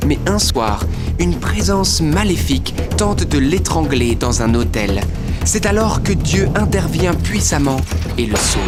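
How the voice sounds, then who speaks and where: A man reading a scripted promotional introduction as the narrator of a testimony show. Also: a little high. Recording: music behind the voice. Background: music.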